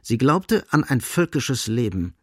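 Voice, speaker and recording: A man playing the sombre narrator of a literary radio drama, reading with grave, measured and deliberate phrasing. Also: mid-range voice, big pitch swings, dry recording, studio quality. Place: studio.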